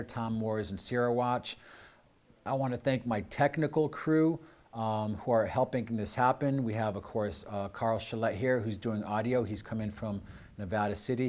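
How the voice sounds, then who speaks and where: An American man speaking as host of a community show, addressing his audience off the cuff in an earnest, halting way with drawn-out pauses. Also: on the low side, a little mellow. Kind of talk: unscripted closing address to audience with thanks.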